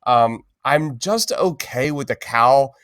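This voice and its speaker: A man's voice: medium pitch, animated, slow, a bit bright, no room sound, clean studio sound. A man hosting a casual pop-culture podcast, talking informally and enthusiastically with plenty of slang. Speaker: podcast host.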